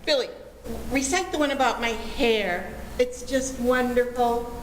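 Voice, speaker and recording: A woman's voice: fairly high pitch, unhurried, close to an everyday voice. A woman playing a sweet, starry-eyed young daughter in love, in 1940s radio comedy style. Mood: gushing. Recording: echoey reverberant hall, faint noise.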